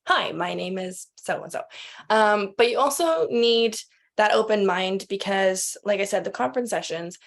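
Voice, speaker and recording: A woman speaking as an interview guest, chatty and casual, explaining things with little acted-out examples. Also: medium pitch. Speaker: podcast guest, expo representative.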